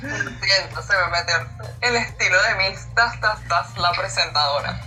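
Female co-host with a Caracas accent: bright, cheerful, effusive. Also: fairly low pitch, unhurried, far from the mic, soft background music, low-quality recording. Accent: Venezuelan, Caracas. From Venezuela. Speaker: podcast co-host. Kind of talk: casual podcast chat.

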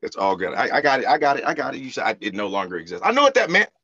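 A man's voice: medium pitch, wildly swooping pitch, racing, pushed and bright, husky, highs cut off. A man hosting a podcast in casual, slangy, joking interview chat, teasing his guest informally. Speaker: podcast host.

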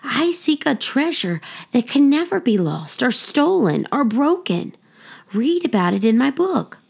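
A woman's voice: high-pitched, very animated, measured pace, vocal fry, slightly rough, pitched above the performer's own voice, exaggerated voice. A woman voicing an earnest, eager young boy pilgrim in a children's storybook reading. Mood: earnest, pleading.

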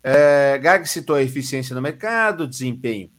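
A man's voice: medium pitch, lively intonation, moderate pace, fairly bright tone. Brazilian man reading news, sarcastic asides. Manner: reads news stories aloud, then comments sarcastically. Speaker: podcast co-host reading news. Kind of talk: casual news-reading podcast chat.

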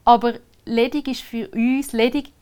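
A woman's voice: fairly high pitch, little pitch movement, a little slow, darkish tone, clean tone. Swiss German woman explaining procedures. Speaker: civil registrar. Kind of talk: casual interview answers. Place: Switzerland.

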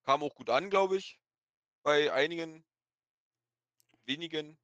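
Male podcast guest, bright forward voice, slight lisp, casual halting delivery, very dry close sound. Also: a little high, dry recording, lo-fi sound.

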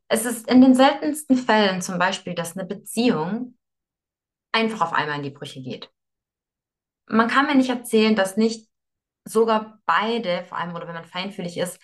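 A young woman from Russia, a guest in a podcast interview, explaining her ideas earnestly, often restarting and repeating words. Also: lowish voice. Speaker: yoga teacher and guest.

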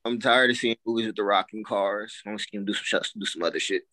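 A man's voice: fairly low pitch, steady tone, quick pace, bright, forceful tone, poor audio. A man in casual chat with friends, slangy and emphatic.

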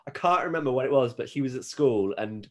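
A man's voice: medium pitch, animated, fast. A British man chatting casually as a podcast co-host. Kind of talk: casual chat.

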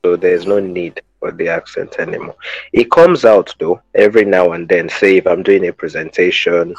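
A man from Nigeria, a podcast co-host, telling stories in a relaxed, jokey way. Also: low-pitched, normal speed, no room sound. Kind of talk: casual podcast chat. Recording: dull, dry lo-fi sound.

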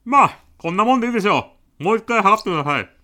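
A man's voice: sits high, lively intonation, rapid-fire, sharp tone. A man playing an insistent, argumentative, eccentric businessman with absurd logic in a comedic radio drama. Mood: stubbornly earnest. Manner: insistent, matter-of-fact, argumentative.

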